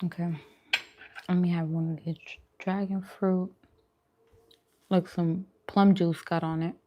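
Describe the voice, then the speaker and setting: Woman, slightly low voice, informal livestream host talking straight to her viewers, casual and chatty. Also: little pitch movement, a little slow, highs cut off, slight background noise. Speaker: mukbang vlogger and livestream host. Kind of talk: casual livestream chat while eating.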